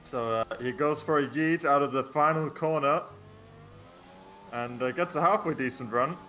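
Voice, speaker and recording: A man's voice: a little high, normal speed, fairly bright tone, a little hiss or hum. A man with a Danish accent co-commentating a sim race with dry, teasing jokes. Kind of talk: casual live sim-racing commentary.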